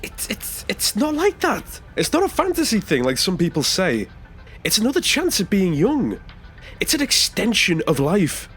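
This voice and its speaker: A man's voice: sits high, wildly swooping pitch, moderate pace, fairly bright tone, soft background music, heavily acted. A man playing a nervous, defensive taxi passenger who stammers and hesitates, in a science fiction audio drama. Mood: defensive, earnest.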